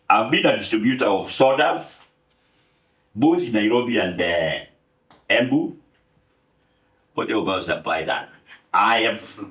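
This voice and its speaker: A man's voice: on the low side, fairly bright tone. Older man telling stories in an echoey room. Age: 70s. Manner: unhurried, humorous, many filler pauses. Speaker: guest speaker. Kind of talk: relaxed storytelling talk.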